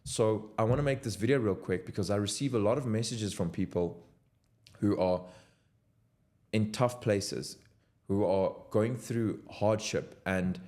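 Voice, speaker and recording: Deep-voiced man vlogging. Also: darkish tone. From South Africa. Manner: earnest, motivational, direct to camera. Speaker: content creator. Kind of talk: unscripted talking-head vlog. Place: home office at his desk.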